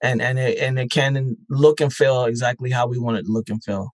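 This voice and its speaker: A man's voice: medium pitch, fairly even intonation, brisk, dry recording. A man speaking as a guest, a festival founder and life coach, earnest and encouraging, with frequent ums. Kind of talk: casual interview chat.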